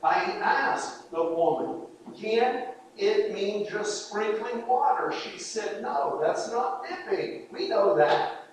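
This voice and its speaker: A man with a high voice preaches slowly and deliberately, earnest and pleading as he drives his points home with repetition. He sounds far from the mic, his words ringing through a big echoey hall over faint room ambience. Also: animated, slight background noise. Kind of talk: sermon.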